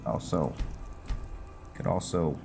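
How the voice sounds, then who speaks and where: A man giving casual game commentary, musing and halting as he thinks aloud to himself. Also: sits low, fairly even intonation, gravelly, warm tone, soft background music. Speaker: gameplay host narrating a roleplay playthrough.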